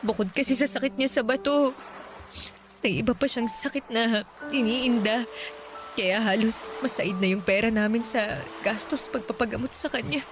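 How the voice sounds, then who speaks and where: A woman's voice: a little high, normal speed, over music, natural voice. An actress playing a polite, worried young woman caring for her sick father. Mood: sad. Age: young adult. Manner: polite, pleading.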